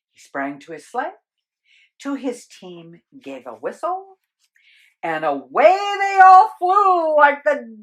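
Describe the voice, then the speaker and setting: An older woman reading a holiday poem aloud as a storyteller, theatrical and expressive, with dramatic pauses. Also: a little high, rough recording.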